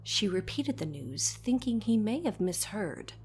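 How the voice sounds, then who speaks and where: A woman narrating a scripted horror story as a storyteller, dramatic and deliberate, dropping at times toward a whisper. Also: medium pitch, big pitch swings, a little mellow.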